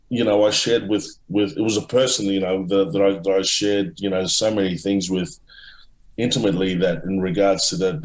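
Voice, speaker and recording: A middle-aged man answering interview questions at length, halting, with many restarts and fillers. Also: sits low, darkish tone, echoey room, poor audio. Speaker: author and guest.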